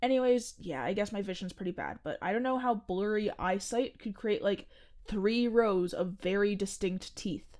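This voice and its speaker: A woman's voice: mid-range voice, normal speed, a little mellow, close to an everyday voice. A woman playing a chatty, earnest seventeen-year-old boy who hosts a podcast, casual and insistent, in an audio drama. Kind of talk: audio fiction podcast acting, conversational.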